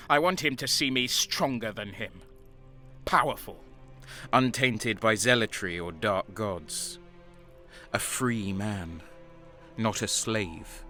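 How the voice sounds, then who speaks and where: A man playing a defiant, embittered renegade soldier in a dark sci-fi audio drama, brooding and intense. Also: mid-range voice. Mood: defiant, resentful.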